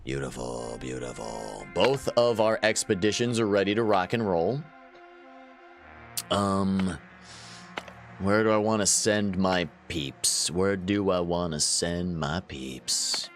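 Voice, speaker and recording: A man's voice: deep voice, slow pace, soft background music. A man in his thirties chatting casually while livestreaming a game, laughing often and answering his viewers. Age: 30s. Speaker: gaming livestreamer. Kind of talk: casual live gameplay chat.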